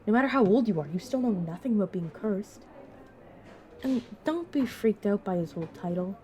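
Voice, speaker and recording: A woman playing a brisk, protective vampire mentor in an audio roleplay, talking casually and teasingly to a new fledgling. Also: mid-range voice, lively intonation, dark tone, natural voice. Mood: reassuring, wry. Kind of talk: narrative audio roleplay, addressed to the listener.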